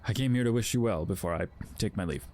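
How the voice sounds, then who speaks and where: An actor playing a solemn, anxious guardian spirit of fear, speaking formally and haltingly in an audio drama. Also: male voice, on the low side, a little mellow, close to an everyday voice. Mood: mournful, apologetic. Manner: formal, halting, stilted wording. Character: Fear, solemn, anxious male emotion spirit, self-sacrificing protector.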